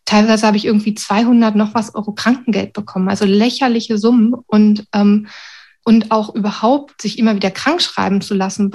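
A woman's voice: medium pitch, a little mellow. A middle-aged woman from Berlin talking candidly and reflectively about personal experience in an interview. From Berlin, Germany. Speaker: interviewee, university secretary with a doctorate.

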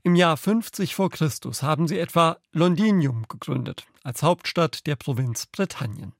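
A man's voice: fairly high pitch, animated, moderate pace, dry recording, clean studio sound. A man presenting a radio magazine show, reading scripted links between reports.

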